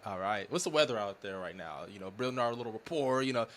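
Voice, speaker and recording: A man's voice: fairly low pitch, expressive, fast talker, a bit bright, slight background noise. Rambling laid-back man hosting a podcast. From California. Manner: friendly, rambling, many fillers and restarts. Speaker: podcast host. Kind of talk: casual podcast interview.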